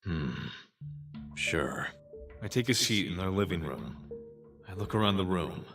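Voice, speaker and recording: A man playing a wary, low-key detective inspector in an audio crime drama, partly narrating his investigation. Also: expressive, unhurried, music in the background. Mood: guarded.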